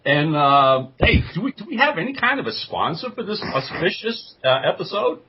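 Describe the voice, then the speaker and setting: A man co-hosting a podcast, chatting casually in a husky voice. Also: fairly high pitch, very animated, normal speed, slight background noise. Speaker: podcast co-host. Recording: slightly dull lo-fi audio.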